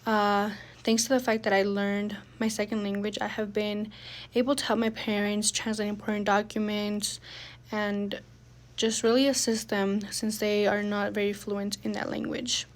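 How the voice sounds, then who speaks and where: A young woman giving a prepared personal statement, measured, with frequent pauses and fillers. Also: medium pitch, level tone. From United States. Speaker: student speaker.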